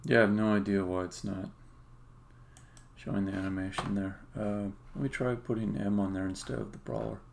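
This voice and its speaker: A man giving a casual live-stream demo as a game developer, halting and thinking aloud as he goes. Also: fairly low pitch, flat delivery, vocal fry. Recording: noisy, with keyboard typing and clicking.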